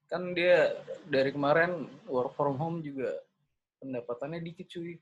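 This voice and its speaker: A man with a fairly high voice and a Jakarta accent talks in casual, colloquial style. He sounds like he is chatting with a friend on a call, with some noise behind him. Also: normal speed, highs cut off. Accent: Jakarta Indonesian. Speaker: podcast host. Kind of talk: casual chat, prank phone call.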